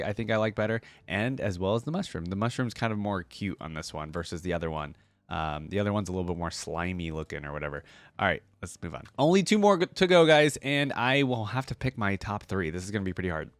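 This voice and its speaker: A man's voice: on the low side, no room sound. A man hosting a casual reaction video, talking informally and thinking aloud as he comments. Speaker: video creator and host.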